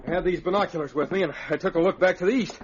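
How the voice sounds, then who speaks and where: An actor playing an insistent outlaw lookout in an old-time radio adventure. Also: male voice, high voice, very fast, a little hiss or hum, lightly acted. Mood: urgent, insistent. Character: insistent male outlaw lookout reporting trouble. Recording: muffled vintage radio recording.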